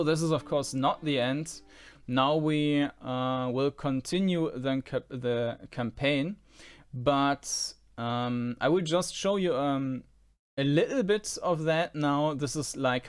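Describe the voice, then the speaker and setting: A man giving casual commentary on a game preview, with halting, hesitant phrasing. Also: a little high, smooth.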